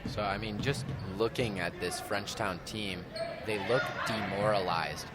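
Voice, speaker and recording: A man provides conversational color commentary during a live basketball game. His voice is on the low side. Clear gym noise and bouncing balls are heard behind him. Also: a little slow. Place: indoor school gymnasium during a game.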